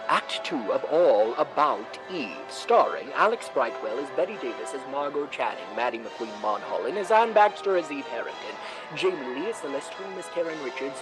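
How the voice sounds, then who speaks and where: Man as a lively fifties radio announcer. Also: a little high, rough recording. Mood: formal introduction. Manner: formal, emphatic salesmanship. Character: polished 1950s male radio announcer, smooth pitchman. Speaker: announcer and host. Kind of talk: 1950s radio announcing and ad reading.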